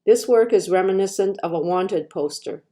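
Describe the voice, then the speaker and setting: A woman's voice: mid-range voice, moderate pace, dark tone. Older woman reading her personal essay. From Canada. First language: Japanese. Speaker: artist.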